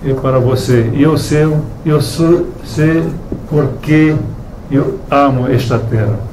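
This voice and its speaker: A man with a Romanian accent, whose first language is Romanian, gives a halting thank-you speech as author at a book launch, often stopping to search for words. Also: mid-range voice. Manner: hesitant, searching for words, many restarts. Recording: echoey room, distant mic, noisy.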